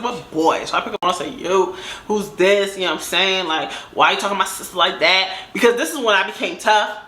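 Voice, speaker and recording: A young woman with a fairly deep voice telling a casual story in a lively, laughing way. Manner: animated, laughing, acts out quoted voices. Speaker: storytime vlogger. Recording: echoey room.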